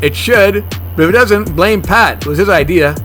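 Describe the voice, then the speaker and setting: An actor playing a fussy, germophobic monster hunter, blunt and bossy, in a comedy horror audio drama. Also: male voice, sits high, moderate pace, soft background music. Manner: deadpan, argumentative.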